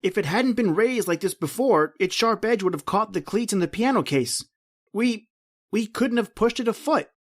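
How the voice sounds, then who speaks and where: An actor playing a polite, deferential young fiancé growing uneasy, in old-time radio drama style. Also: male voice, sits high, lively intonation, normal speed.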